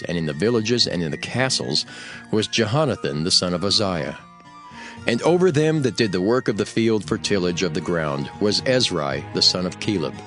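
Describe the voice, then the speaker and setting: A man narrating scripture as a scripted audio reading, with formal and deliberate phrasing. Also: low voice. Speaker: Bible narrator. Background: soft background music.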